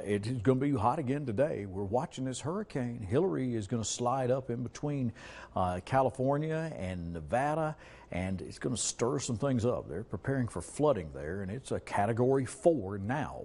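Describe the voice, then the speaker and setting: A man's voice: mid-range voice, expressive, normal speed, darkish tone. An American man in his forties presenting an ad-libbed TV weather forecast in a conversational way, with folksy asides. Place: TV studio.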